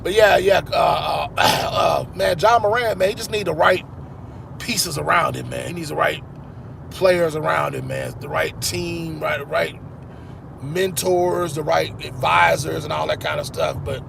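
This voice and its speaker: A man's voice: fairly high pitch, lively intonation, measured pace, creaky, background noise. A man in his forties, hosting a sports channel, chatting casually with viewers in a rambling way, often repeating "man". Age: 40s. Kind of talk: casual live-stream chat with viewers.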